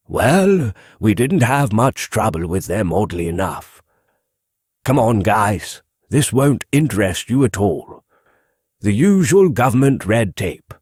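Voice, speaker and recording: Man acting a slow, husky, chatty old-timer. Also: on the low side, a little mellow, no room sound, studio quality, exaggerated voice. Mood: reminiscing. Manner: rambling, chummy, drawn-out delivery. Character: chatty old male civil servant, folksy and humble. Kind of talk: audiobook character monologue.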